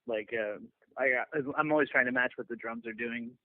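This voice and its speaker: A man being interviewed as a touring bassist, chatting casually and loosely in a laid-back way over a lo-fi phone line. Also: moderate pace, no room sound. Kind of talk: casual chat.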